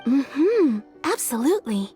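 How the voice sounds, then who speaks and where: A woman's voice: sits high, sing-song, slow pace, music in the background, exaggerated voice. A woman voicing a polite, businesslike female tailor in a video game, explaining things formally and eager to serve. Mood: delighted.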